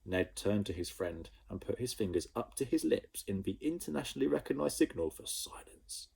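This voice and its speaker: Animated low-voiced male storyteller. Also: fairly quick, vocal fry, breathy edge. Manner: animated, with sound effects. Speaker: primary school teacher. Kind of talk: storybook read-aloud for children.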